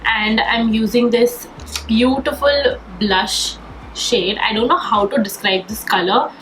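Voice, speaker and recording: A woman's voice: on the high side, slow pace, a bit bright. Chatty woman, slightly nasal, echoey room. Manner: chatty and enthusiastic, repeats "very, very". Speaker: beauty vlogger. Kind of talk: casual get-ready-with-me makeup tutorial. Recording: echoey room with faint background noise.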